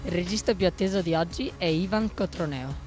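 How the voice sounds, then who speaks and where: A woman's voice: on the low side, fairly quick. A woman working as a newsreel reporter, delivering scripted questions and links. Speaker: young festival newsreel reporter. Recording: music playing behind the voice.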